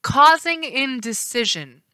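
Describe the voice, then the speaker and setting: A woman reading aloud, voicing a stately queen of the gods who speaks slowly and with calm command. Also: fairly high pitch, smooth, dry recording, voice pushed up, heavily acted. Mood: regal. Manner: slow, measured, regal.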